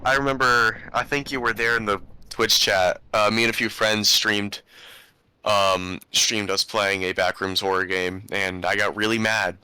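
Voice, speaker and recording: A man's voice: on the low side, steady tone, a little slow, bright, forceful tone, smooth, rough recording. A young man hosting a podcast, telling stories in a laid-back, rambling way with slang. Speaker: podcast host. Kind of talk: casual chat.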